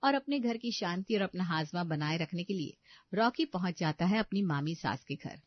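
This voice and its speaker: A woman's voice: lowish voice, animated, moderate pace, no room sound, lo-fi sound. A woman narrating a scripted family moral tale in a storybook style.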